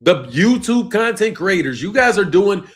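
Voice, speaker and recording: A man, hosting a boxing channel live stream and talking loosely and emphatically, dropping low at the ends of phrases. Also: big pitch swings, normal speed. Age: 50s. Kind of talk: casual live-stream rant reading chat.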